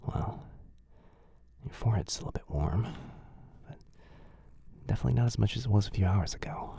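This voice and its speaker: A man playing a kind, caring wizard in a boyfriend ASMR roleplay, murmuring softly and a little hesitantly close to the microphone. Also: on the low side, animated, moderate pace, full character voice. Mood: tender, caring. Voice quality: soft, breathy, husky. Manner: gentle, hesitant, murmuring, intimate. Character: kind, caring young male wizard, gently fussing. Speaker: audio roleplay voice performer. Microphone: close, dry microphone.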